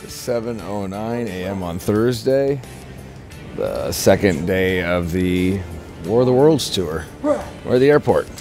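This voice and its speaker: A man chats at an airport, tired and rambling, like a touring wrestler on little sleep. He speaks at a middle pitch. Clear music plays under his voice. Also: animated, takes it slowly, darkish tone. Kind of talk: vlog-style chat.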